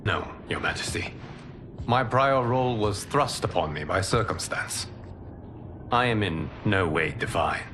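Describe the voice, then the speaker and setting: An actor playing a humble former god in a video game, speaking in a formal, measured, earnest way. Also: male voice, low-pitched, animated, background noise. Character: solemn, humble former god and protector of Earth. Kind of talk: dramatic video game cutscene acting.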